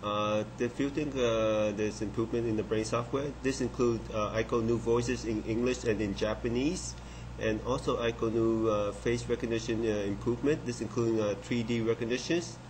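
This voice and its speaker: Male developer presenting a demo, halting and unscripted, medium pitch, some background noise. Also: steady tone, darkish tone. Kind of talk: software demonstration. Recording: clearly noisy room recording.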